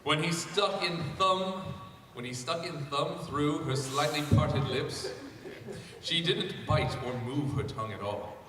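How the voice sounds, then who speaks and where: A man's voice: fairly high pitch, unhurried, a crowd in the background. A man reading fan fiction aloud to a panel at a fan convention. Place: large convention panel room. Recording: echoey hall, distant mic.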